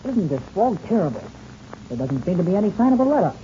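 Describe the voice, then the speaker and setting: A man's voice: high-pitched, sing-song, quick pace, dark tone, highs cut off, slight background noise. A young man playing an eager, boyish pilot in a 1930s radio serial, earnest and full of gee-whiz exclamations, on an old radio recording. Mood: awed.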